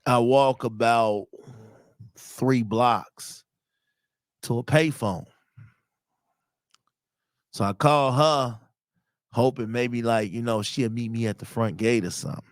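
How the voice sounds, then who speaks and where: A man's voice: medium pitch, slow pace, long pauses, dry recording, studio quality. A man in his thirties from telling personal stories in a casual, slangy, conversational way as a podcast host. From Chicago, United States. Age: 30s. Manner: conversational, slangy, acts out quoted dialogue.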